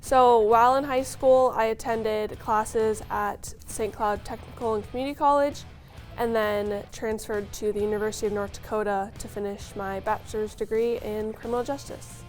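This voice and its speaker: Recruit, 20s, from Minnesota, higher voice, upbeat delivery. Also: female voice, steady tone, normal speed, soft background music. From Sartell, Minnesota. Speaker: police academy recruit. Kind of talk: interview answers.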